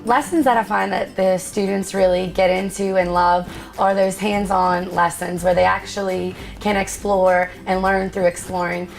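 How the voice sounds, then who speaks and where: A woman's voice: medium pitch, flat delivery, normal speed, creaky, hardly any pauses, music in the background, rough recording. A woman who teaches fourth grade, giving earnest, explanatory interview answers about her teaching.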